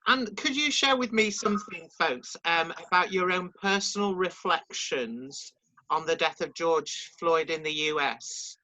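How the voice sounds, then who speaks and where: High bright guy asking questions, polite and encouraging. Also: a little slow, poor audio. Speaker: interviewer. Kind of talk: gentle interview questions.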